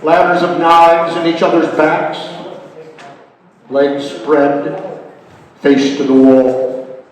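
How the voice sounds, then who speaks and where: A man reading his own poetry aloud at a live reading, in a deliberate, declamatory way with frequent pauses. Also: a little high, level tone. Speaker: poet reading own work. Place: indoor gallery reading. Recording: very echoey hall, distant mic, music behind. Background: music.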